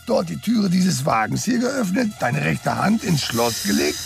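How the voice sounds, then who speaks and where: An actor in a German radio crime drama playing a sardonic, street-tough sidekick who talks in a colloquial, mocking way. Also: male voice, a little high, sing-song, unhurried, creaky, faint music behind, full character voice. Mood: grim, urging.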